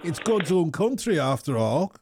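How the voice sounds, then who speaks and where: A man putting on a jokey mock Yorkshire accent, playing a hearty northern everyman in slow, drawn-out speech. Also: fairly high pitch, big pitch swings, darkish tone, a little hiss or hum. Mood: joking.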